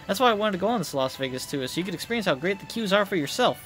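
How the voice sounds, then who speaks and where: A man's voice: high voice, lively intonation, very fast, fairly bright tone, faint music behind, pitched above the performer's own voice. A man voicing a bossy, indignant young warrior queen from a video game, with emphatic, theatrical delivery. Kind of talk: video game dialogue read aloud.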